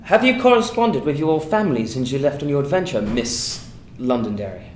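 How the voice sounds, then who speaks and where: A man's voice: medium pitch, animated, a little fast, lightly acted. Man playing a prying reporter, echoey room. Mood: probing. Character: prying, tactful male newspaper reporter. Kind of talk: screenplay table reading. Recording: echoey room, faint background noise.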